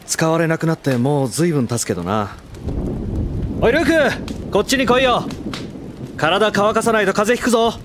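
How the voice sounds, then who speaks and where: A man's voice: high voice, big pitch swings, moderate pace, long pauses, crowd noise. Easygoing, brotherly young man. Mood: friendly. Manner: casual, frank masculine speech. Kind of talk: Japanese anime audio drama acting.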